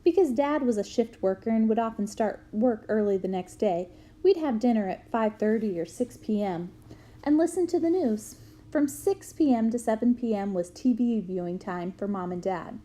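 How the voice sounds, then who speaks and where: A woman reading a memoir aloud to students in a clear storytelling manner. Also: on the high side, lively intonation, normal speed. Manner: clear storytelling, bursts into loud shouts for quoted yells.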